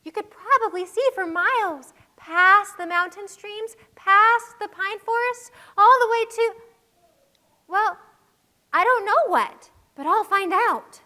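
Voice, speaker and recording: A woman's voice: very high-pitched, takes it slowly, sharp tone, exaggerated voice. A woman playing a bold, curious young village girl in a children's puppet show, cheeky and eager, with a whiny edge. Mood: eager, determined.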